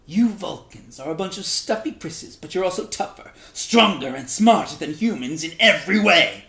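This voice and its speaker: A man's voice: sits high, expressive, moderate pace, pushed and bright, full character voice. A man voicing a blunt, baffled alien warrior in a dramatic reading, speaking emphatically and challengingly. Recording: echoey, roomy sound.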